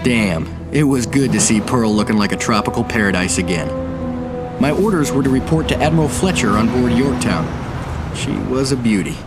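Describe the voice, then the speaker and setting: A man plays a resolute American naval commander in a war game. He is world-weary and narrates his own campaign like a scripted memoir, over loud music. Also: mid-range voice, expressive, moderate pace, lightly acted. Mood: grave, reflective.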